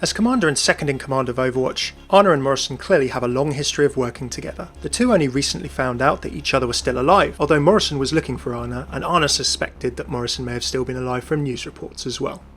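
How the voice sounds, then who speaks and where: A man's voice: mid-range voice, fast talker, hardly any pauses, a bit bright. A man presenting scripted video game lore commentary in a chatty, enthusiastic manner.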